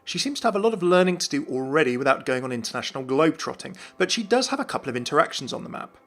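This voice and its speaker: A man's voice: fairly high pitch, big pitch swings, racing, a bit bright. A man giving a scripted commentary on video game lore. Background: faint music.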